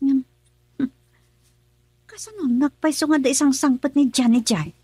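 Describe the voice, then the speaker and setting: A woman's voice: high-pitched, moderate pace, mellow, natural voice. A woman playing an earnest young wife. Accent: Ilocano. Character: young wife worried about her family. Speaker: radio drama actress.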